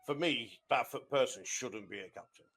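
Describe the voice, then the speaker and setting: A man's voice: medium pitch, normal speed, a bit bright, slightly rough. A British man in a roleplay police meeting who talks bluntly and complains about a colleague, swearing freely. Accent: British English. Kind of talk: in-character roleplay meeting talk.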